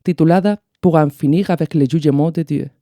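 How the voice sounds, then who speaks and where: A woman hosting a podcast with scripted, didactic narration in measured phrases. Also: sits low, dark tone, dry recording, studio quality. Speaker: podcast host.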